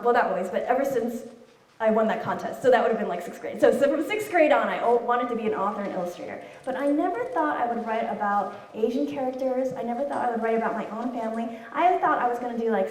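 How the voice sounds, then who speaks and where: A woman's voice: on the high side, a little mellow. A woman in her thirties, an author and illustrator, chatting casually while answering audience questions, laughing often. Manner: chatty, laughs often, many fillers and restarts. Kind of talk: casual Q&A talk. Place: room with an audience. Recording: echoey room, distant mic.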